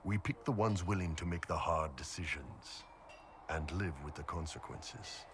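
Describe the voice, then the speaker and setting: A man's voice: sits low, normal speed, fairly bright tone, slight background noise. A man playing a huge horned mercenary captain in a video game, blunt, shrewd and easygoing, speaking colloquially. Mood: frank, musing. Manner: laid-back, blunt, colloquial.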